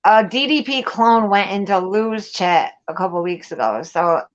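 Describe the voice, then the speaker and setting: A woman with an average-pitched voice talks casually on a chat panel. She is blunt and slangy and swears freely. Her audio is somewhat dull and lo-fi. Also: animated, moderate pace, a little hiss or hum.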